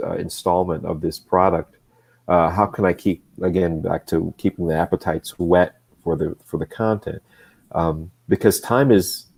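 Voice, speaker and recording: Man, very low register, thoughtful comics creator who talks haltingly with restarts. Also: darkish tone. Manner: halting, restarts often, measured. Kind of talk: casual discussion.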